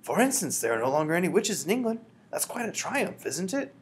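Storybook role: a man voicing a quietly confident elderly grandmother, steady and reassuring, lively pitch. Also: breathy edge, exaggerated voice. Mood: calm, reassuring. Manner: measured, reassuring.

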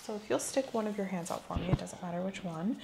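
A soft-spoken woman giving gentle, clinical instructions in an ASMR medical exam roleplay. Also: medium pitch, fast, creaky, noisy background. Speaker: host playing a medical examiner. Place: small indoor room set up as exam office.

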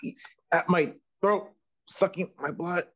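Young guy doing a husky tormented man in an old radio horror play, anguished and dramatic. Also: high-pitched, takes it slowly, fairly bright tone, full character voice. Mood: tormented, fearful. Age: young adult. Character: tormented, haunted male concert pianist.

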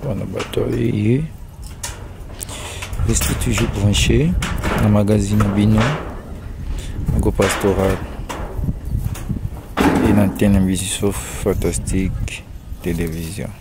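A man's voice: lowish voice, steady tone, slow, vocal fry, pauses often. A man hosting his channel, chatting loosely with lots of fillers and repeats while filming a building job outdoors. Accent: Congolese French. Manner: loose, repetitive filler-heavy talk. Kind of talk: casual running commentary on site. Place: outdoors on a rural building site. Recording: loud outdoor noise, camera mic.

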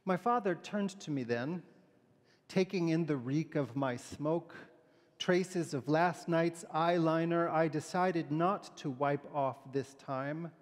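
A middle-aged man, a pastor giving a sermon and readings in a gentle, halting, informal way. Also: on the high side. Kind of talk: sermon with poem and scripture readings, conversational. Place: large church sanctuary, indoors, at a pulpit. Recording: echoey church hall, long reverb.

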